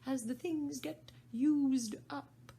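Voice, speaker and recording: A woman's voice: high voice, slow, creaky, dark tone, slight background noise, voice pushed up, heavily acted. A woman voicing an eccentric, quarrelsome man with a mournful, sighing way of talking. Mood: weary. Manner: mournful, then smugly argumentative.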